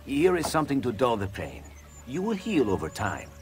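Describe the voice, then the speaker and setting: An actor playing a blunt, matter-of-fact street physician in a Renaissance video game. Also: male voice, medium pitch, wildly swooping pitch, normal speed. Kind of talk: video game cutscene acting.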